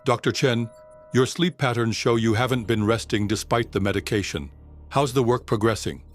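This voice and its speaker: An actor playing a measured, formal psychiatrist on a space expedition in an audio drama. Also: male voice, medium pitch, faint music behind, close to an everyday voice. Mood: probing, concerned. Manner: calm, formal, clinical. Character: measured, clinical male psychiatrist on a Mars expedition. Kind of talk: audio drama log and session dialogue.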